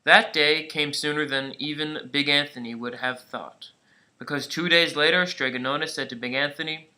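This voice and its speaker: A man reading a children's storybook aloud in a plain, slightly halting way. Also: on the high side, fairly even intonation, sharp tone. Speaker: storybook reader.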